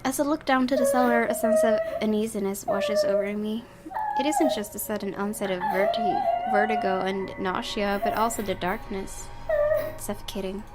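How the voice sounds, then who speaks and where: A woman with an expressive, excitable delivery, reading a horror visual novel aloud as a let's play host and reacting to it. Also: medium pitch, normal speed. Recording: loud game music behind voice. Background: loud game music.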